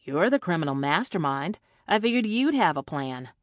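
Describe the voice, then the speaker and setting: A woman's voice: sits low, big pitch swings, normal speed, no room sound. A woman voicing a defiant, self-assured captive female agent in an audiobook, with a dry, sardonic manner.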